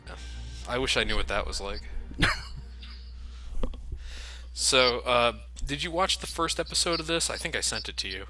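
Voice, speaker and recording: A man's voice: medium pitch, animated, moderate pace, pauses often, bright, forceful tone, soft background music. A man commentating over a video game, joking sarcastically and swearing freely. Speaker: let's play commentator. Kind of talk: casual gameplay commentary.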